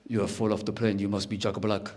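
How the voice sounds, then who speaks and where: A man voicing his older father, a proud man who speaks broken English slowly and solemnly. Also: lowish voice, flat delivery, darkish tone, pitched below the performer's own voice, natural voice. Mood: proud greeting.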